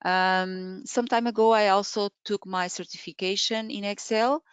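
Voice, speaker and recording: A woman's voice: mid-range voice, steady tone, normal speed, smooth, dry recording. A woman in her forties from Portugal, a native Portuguese speaker, presenting an online webinar from a room at home, talking with many fillers and restarts. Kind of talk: webinar talk. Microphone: video call. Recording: dull lo-fi video call audio.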